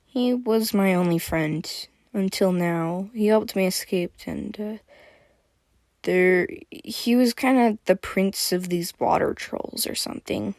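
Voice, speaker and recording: A woman voicing a naive, excitable young girl in a storybook, gushing breathlessly with wonder. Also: medium pitch, slow, dry recording, clean studio sound. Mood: tearful, subdued.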